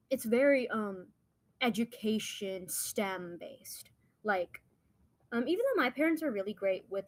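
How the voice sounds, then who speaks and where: A woman's voice: medium pitch, no room sound. A young woman whose first language is Hindi, from India and the United States, telling personal stories in a casual, rambling, informal way as a podcast guest.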